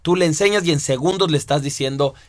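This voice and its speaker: A man teaching a course, explaining step by step with lively rhetorical questions. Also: fairly high pitch, quick pace, no room sound. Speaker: instructor. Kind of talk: lecture to students.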